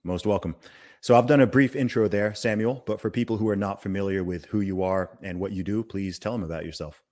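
A man hosting a podcast, greeting listeners loudly and prompting his guest in short, friendly bursts. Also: lowish voice, brisk. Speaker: podcast host. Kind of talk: podcast interview.

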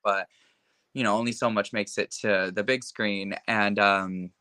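Young man, interview guest, impassioned, lots of filler words, bright tone, dry close sound. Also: fairly low pitch, steady tone, a little slow, smooth, no room sound. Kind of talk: casual conversation.

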